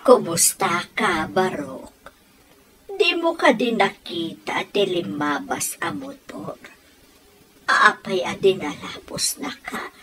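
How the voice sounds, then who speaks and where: A woman's voice: lowish voice, sing-song, slow pace, background noise. A woman playing a villager in a comedy radio drama, with an Ilocano Filipino accent. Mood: concerned. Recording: music bed behind the voices.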